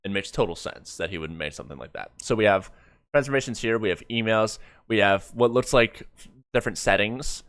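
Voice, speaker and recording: A man giving casual, halting live commentary as a streamer reviewing a comic, often repeating words mid-thought. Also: lowish voice, expressive, fairly bright tone, smooth, no room sound.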